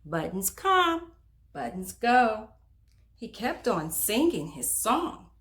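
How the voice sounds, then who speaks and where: A woman reads a children's storybook aloud as host, in an expressive, emphatic manner that speaks directly to young listeners. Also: a little high, slow pace. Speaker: children's book reader and host.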